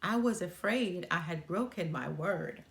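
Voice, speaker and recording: A woman's voice: fairly low pitch, animated, unhurried, creaky. A woman playing a sweet, innocent little girl in a children's storybook, trusting and polite. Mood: innocent cheerful. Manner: trusting, earnest. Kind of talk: children's storybook character reading.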